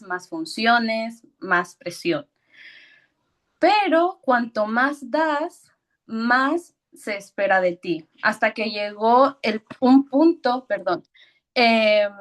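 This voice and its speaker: A woman in her thirties from Ecuador tells her work story as a guest speaker, hesitant and a little nervous, with fillers and soft laughs. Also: mid-range voice. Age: 30s. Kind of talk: personal story talk.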